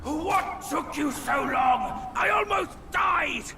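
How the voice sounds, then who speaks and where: High, creaky, blustering pig demon. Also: male voice, lively intonation, takes it slowly, a crowd in the background, background noise, heavily acted. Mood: outraged, mocking. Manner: theatrical, blustering, prone to shouting. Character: brash, lecherous male pig demon, cowardly and mocking. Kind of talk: video game cutscene acting. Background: dramatic game music.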